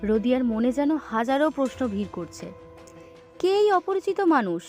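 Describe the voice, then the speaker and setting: A woman's voice: fairly high pitch, very animated, moderate pace, over music. A woman narrating a scripted suspense story in a dramatic way.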